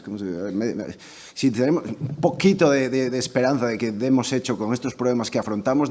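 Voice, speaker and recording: A man's voice: on the low side, darkish tone. Hesitant man speaking at a debate. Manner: thoughtful, many restarts and hesitations. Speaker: debate panelist. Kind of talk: spoken debate contribution.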